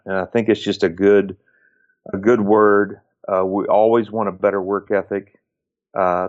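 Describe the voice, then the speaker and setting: A man in his late forties, a former baseball pitcher, giving earnest, reflective advice in conversation, often restarting phrases and listing points. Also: highs cut off. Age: 40s.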